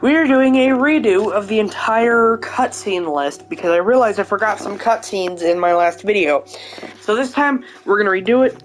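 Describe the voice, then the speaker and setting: A man's voice: high voice, expressive, moderate pace, a little hiss or hum. A man casually chatting as he introduces his video to viewers. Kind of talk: casual vlog chat.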